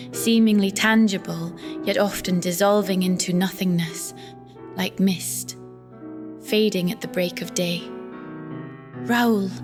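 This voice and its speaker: Slow, solemn young woman, Christine, acted by a woman over music. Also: mid-range voice, lightly acted. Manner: measured, formal, grave delivery. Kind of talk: audio drama acting.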